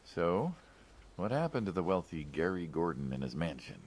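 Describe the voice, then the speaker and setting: A man's voice: low voice, big pitch swings, moderate pace, darkish tone, a little hiss or hum. An actor playing a teasing, chatty American mobster in a video game, probing his friend in a wry, conversational way. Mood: curious, surprised. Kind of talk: naturalistic game acting.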